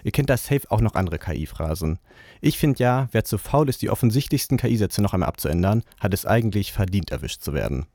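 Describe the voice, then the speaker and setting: A young man presenting on the radio in a relaxed, chatty way, using casual youthful slang. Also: fairly low pitch, fairly quick. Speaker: radio host.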